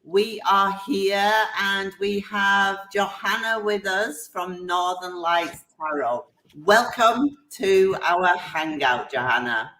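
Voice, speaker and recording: A woman's voice: mid-range voice, slow, few pauses, pushed and bright, reverberant, poor audio. A woman hosting a live online hangout, greeting viewers by name and chatting with her guest over a video call. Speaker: host.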